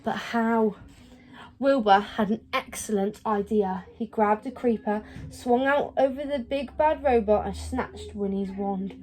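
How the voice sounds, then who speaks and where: Mellow, higher-pitched woman reading a storybook. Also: a little slow, rough recording. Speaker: storybook reader. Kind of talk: expressive read-aloud of a children's story.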